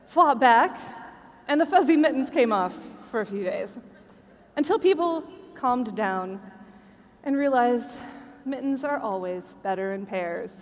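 High-voiced American woman in a big hall. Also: lively intonation, a little slow. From Michigan, United States. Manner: playful, emphatic delivery. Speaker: podcast host presenting trivia. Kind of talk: scripted comic trivia presentation to a live audience. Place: convention stage before a live audience. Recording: reverberant hall stage recording, background noise.

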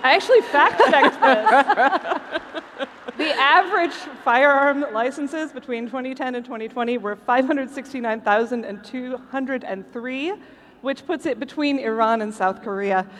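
Woman stage host, high voice, scripted comic presentation, recorded live in a big reverberant hall. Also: measured pace, a bit bright. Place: large convention hall stage, live show.

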